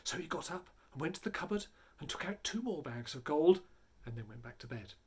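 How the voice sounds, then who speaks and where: A man reading a story aloud in a theatrical, dramatic way, building and hushing for effect. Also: on the high side, expressive, a little fast, breathy edge. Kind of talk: animated dramatic storybook narration.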